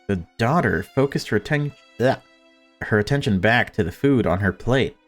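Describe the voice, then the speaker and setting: A man's voice: medium pitch, expressive, moderate pace, soft background music. A man reading a visual novel aloud as a let's-player, with informal, sweary asides. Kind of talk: narration and casual reactions to a visual novel.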